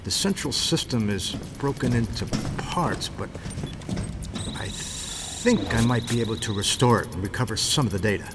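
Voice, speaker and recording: An actor playing an earnest military tech specialist in a video game, reporting matter-of-factly. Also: male voice, lowish voice, expressive, normal speed, background noise, natural voice. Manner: matter-of-fact.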